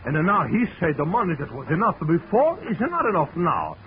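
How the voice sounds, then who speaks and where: An actor playing a blustery foreign circus owner who speaks broken English in a 1940s radio drama. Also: male voice, fairly high pitch, huge pitch swings, a little fast, a crowd in the background. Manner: broken English, theatrical foreign put-on, chuckling. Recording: lo-fi vintage radio recording with hiss.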